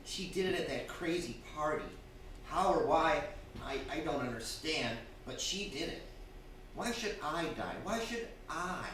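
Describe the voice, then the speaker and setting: In a very reverberant hall, a man plays a henpecked, frightened husband from a radio play. His fairly high voice stammers and hesitates, slow and unsure. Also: animated, a bit bright. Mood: desperate, resolving. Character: timid, weak-willed henpecked husband, frightened and desperate. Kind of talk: stage radio drama acting. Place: theatre stage before a live audience. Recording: very echoey hall, distant, faint noise.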